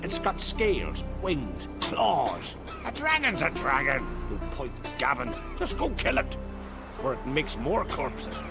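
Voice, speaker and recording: A man playing a hardened, blunt village raider in a video game, creaky and brusque. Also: medium pitch, slow pace, over music, exaggerated voice. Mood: brusque, impatient. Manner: animated, brusque. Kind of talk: video game character acting.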